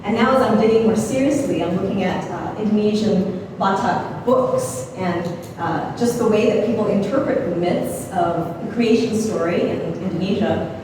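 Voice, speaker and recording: A woman from Canada, speaking as an artist in a gallery conversation, reflective and unhurried with frequent pauses. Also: medium pitch. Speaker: visiting artist. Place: gallery space, indoors. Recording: echoey hall from far off, noisy.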